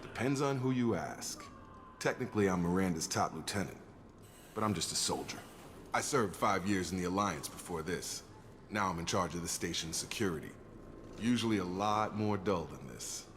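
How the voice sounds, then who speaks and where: A low-pitched American soldier, loyal and steady, talking in a matter-of-fact, informal way. It is game dialogue with clear background noise. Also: male voice, normal speed. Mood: matter-of-fact, explaining. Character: steady, loyal male soldier and station security chief.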